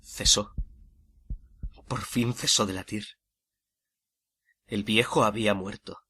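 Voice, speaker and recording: An actor playing a nervous, obsessive murderer confessing his crime in a theatrical, deliberate radio drama monologue. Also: on the low side, fairly even intonation, breathy edge, heavily acted. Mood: hushed, relieved. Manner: theatrical, deliberate, swelling from hushed to frenzied. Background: music under the voice.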